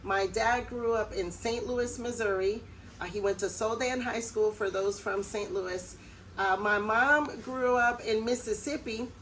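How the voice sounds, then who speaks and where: A woman's voice: on the high side, a bit bright, low-quality recording. Middle-aged american lady chatting to camera, friendly and personal, bit of faint noise in the background. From United States. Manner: chatty, personal, with quick laughs. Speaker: vlogger. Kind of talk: casual talk to camera.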